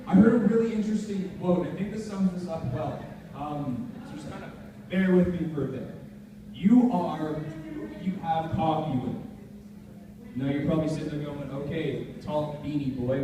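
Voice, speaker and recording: A young man with a high voice gives an informal motivational talk, speaking directly to his listeners. He is far from the mic in a very echoey space, with clear noise on the recording. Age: young adult. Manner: informal, chatty, addressing the audience directly. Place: large echoey room, before a live audience. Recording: very echoey room, distant mic, noisy.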